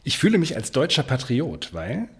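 A male radio host reading a scripted introduction, then interviewing a guest in measured tones. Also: mid-range voice, wildly swooping pitch, slightly rough. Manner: measured, sentence prompts.

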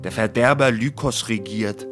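A man's voice: lowish voice, normal speed, over music, natural voice. An actor playing an anguished old Greek man, declaiming solemnly in a verse radio drama. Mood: grieving, solemn.

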